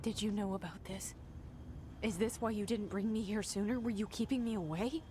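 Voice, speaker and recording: An actress playing a guarded young woman's inner thoughts in a video game, hushed, introspective and often whispery. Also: medium pitch, normal speed, slight background noise, natural voice. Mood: searching, hurt.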